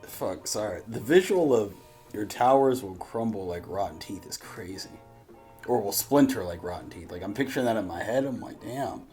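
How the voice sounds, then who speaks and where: Low-voiced man chatting in slangy reaction. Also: animated, moderate pace, mellow, faint music behind. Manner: slangy, swearing, thinking aloud. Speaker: reaction show host. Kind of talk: casual reaction commentary.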